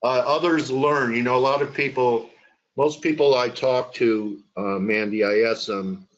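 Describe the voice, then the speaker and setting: A man's voice: medium pitch, steady tone, unhurried, dull audio. A man hosting a webinar interview, chatty and full of uhs and you-knows as he sets up his questions.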